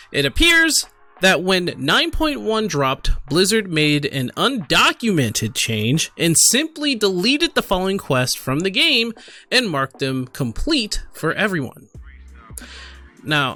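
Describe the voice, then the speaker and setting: A man giving casual, unscripted gaming commentary and reading aloud, in a halting, apologetic manner. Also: on the high side, pushed and bright. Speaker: gaming video creator.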